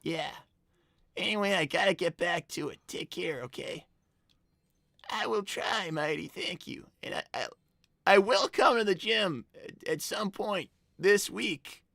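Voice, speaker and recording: Someone voicing an exasperated, creaky young cartoon fox boy. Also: medium pitch, unhurried, a bit bright, no echo, clean studio sound. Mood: resigned. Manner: stammering, exasperated, argumentative. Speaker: host of a live character stream. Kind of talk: improvised in-character chat, reading donations.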